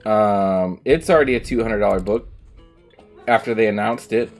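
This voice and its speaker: A man's voice: on the low side, normal speed, faint music behind. Rambling male streamer chatting casually. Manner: rambling, with frequent ums and casual swearing. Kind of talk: casual chat while gaming. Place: at home, streaming.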